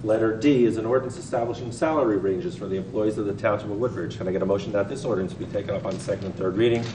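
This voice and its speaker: A man chairing a council meeting, reading procedural motions in a brisk, routine way, recorded in a large council chamber. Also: on the low side. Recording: echoey chamber, far mic, background noise.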